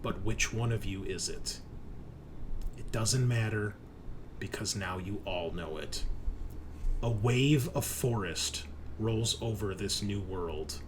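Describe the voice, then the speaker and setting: A man narrating a horror tabletop roleplaying game as game master, dramatic and deliberate in his delivery. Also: lowish voice, background noise.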